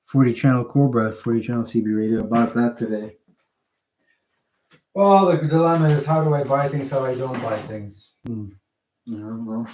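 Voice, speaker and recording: A man rambling casually on a livestream, halting and digressive as he thinks aloud while showing his purchases. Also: medium pitch, darkish tone, slight background noise, rough recording. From Canada.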